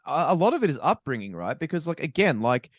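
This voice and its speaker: A man with an Australian accent chatting casually as a podcast co-host, opinionated and animated, stammering when he gets excited. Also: a little high, quick pace, no room sound, clean studio sound. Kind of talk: casual sports chat.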